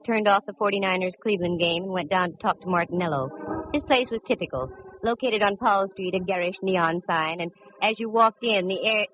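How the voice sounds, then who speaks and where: Actress as hard-boiled lady gumshoe, cool and quick with a quip; 1940s radio acting, muffled vintage sound. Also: mid-range voice, slight background noise, lightly acted. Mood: wry narration. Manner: wry, brisk, hard-boiled wisecracks. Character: sharp-witted, wisecracking female private eye, cool and mercenary. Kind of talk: 1940s-50s radio detective acting and narration. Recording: dull vintage radio recording with music.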